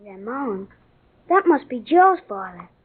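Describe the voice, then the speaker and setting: A woman's voice: on the high side, very animated, takes it slowly, breathy edge, heavily acted. A woman playing an earnest, kind-hearted, matter-of-fact schoolboy with an American accent in an old-time radio drama. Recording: tinny, band-limited old radio broadcast.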